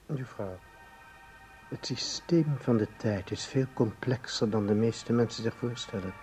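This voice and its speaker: Actor as a measured, learned time traveller. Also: male voice, mid-range voice, a little mellow, soft background music, close to an everyday voice. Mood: calm, reasoning. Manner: measured, didactic, formal. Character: calm, learned male time traveller, patiently explaining. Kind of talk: Dutch radio play acting.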